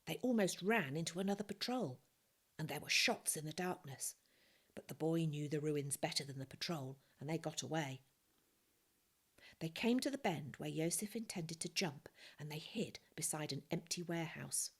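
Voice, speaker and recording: Low, gravelly woman reading a story. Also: animated, moderate pace. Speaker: narrator reading a children's novel aloud. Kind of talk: scripted story narration.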